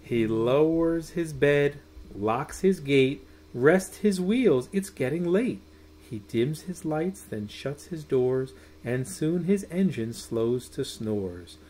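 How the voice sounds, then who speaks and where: A man's voice: fairly high pitch, lively intonation, a little hiss or hum. A middle-aged man reading a rhyming children's picture book aloud in a sing-song way, drawing out words. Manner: sing-song, drawn-out words with soft hushed goodnights. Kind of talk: expressive read-aloud of a rhyming children's bedtime story. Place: small room at home.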